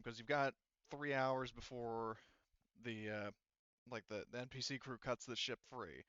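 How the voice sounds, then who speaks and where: A man with a clear, smooth voice at an ordinary pitch works as a game master. He explains the state of a tabletop game to players, repeating and rewording as he goes. The recording is dry and close. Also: fairly bright tone, no room sound, highs cut off.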